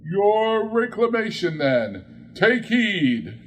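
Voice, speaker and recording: A man voicing a small, gentle but solemn ghost in a storybook reading, speaking gravely and with command. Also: high voice, big pitch swings, slow, a little hiss or hum, voice pushed up, full character voice.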